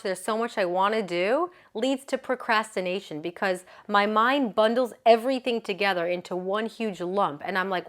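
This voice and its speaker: Woman yoga coach, mid-range voice, warm earnest chatter, laughs easily, keeps checking in with her listener. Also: mid-range voice. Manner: chatty and earnest, often asking "right?". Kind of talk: motivational talk to camera.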